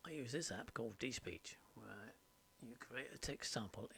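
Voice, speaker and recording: A man narrating an informal software tutorial, explaining step by step in short, halting phrases. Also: mid-range voice, creaky. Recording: steady background noise, mouse clicks. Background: faint noise and clicking.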